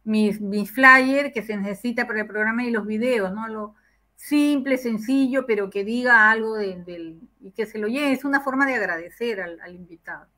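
A woman's voice: fairly high pitch, normal speed, pushed and bright. An older woman with a Peruvian accent, hosting a casual interview over a video call from a room at home. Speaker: host. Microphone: computer or webcam on a video call.

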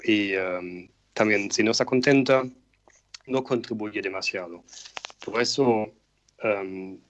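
A middle-aged man with a German accent, speaking Spanish as a radio interview guest. He explains carefully and pauses often with "em.". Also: fairly low pitch. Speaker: guest, regional director. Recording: slightly dull remote line with faint noise.

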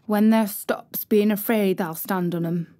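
A steady Yorkshire country lad who speaks stoutly and reassuringly, as a woman reads him. His tone is slow and kind in this storybook telling. He stays close to the reader's own voice. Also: medium pitch, dry recording, natural voice.

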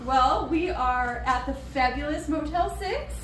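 A woman's voice: sits high, animated, unhurried, a little hiss or hum. A woman in her thirties vlogging a road trip with friends on a handheld phone, playful and giggly. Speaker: band member vlogging. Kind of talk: casual group vlog. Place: road trip stops, shop, motel and outdoor patio. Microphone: handheld phone camera.

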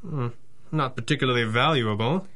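A stern masked hero in a 30s radio serial, crisp declamatory lines, bright forceful tone. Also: male voice, mid-range voice, moderate pace. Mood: assured. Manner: formal, declamatory, dry. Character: stern, confident masked male pulp hero. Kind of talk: 1930s-style radio drama acting.

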